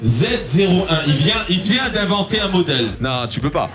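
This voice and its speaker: A man's voice: high-pitched, lively intonation, normal speed. A man hosting at a car event, playfully quizzing and teasing people. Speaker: event host. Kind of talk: quizzing the crowd. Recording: echoey with music.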